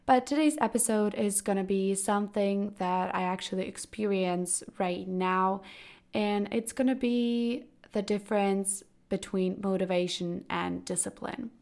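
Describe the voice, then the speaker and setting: A young woman in her late teens talks through a solo podcast episode. Her voice is raspy and hoarse from a cold. She rambles casually, with plenty of fillers. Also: mid-range voice, measured pace, a little mellow. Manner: chatty, loose, full of "like" and "kinda". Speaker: podcast host.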